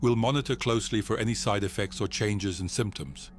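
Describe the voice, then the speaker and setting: An actor playing a measured, formal psychiatrist on a space expedition in an audio drama. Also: male voice, lowish voice, soft background music, natural voice. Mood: clinical, grave. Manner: calm, formal, clinical. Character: measured, clinical male psychiatrist on a Mars expedition. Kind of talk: audio drama log and session dialogue.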